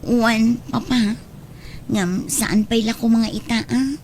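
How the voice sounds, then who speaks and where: Woman doing coaxing grown daughter in a radio drama, highish voice, affectionate, little bit of background noise. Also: slow, a little mellow. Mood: apologetic, earnest. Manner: pleading, coaxing.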